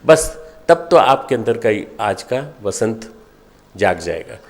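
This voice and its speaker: An older man delivers a motivational spiritual discourse, exhorting listeners with short, emphatic, repeated phrases and pauses between them. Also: on the low side, big pitch swings. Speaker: spiritual leader addressing followers.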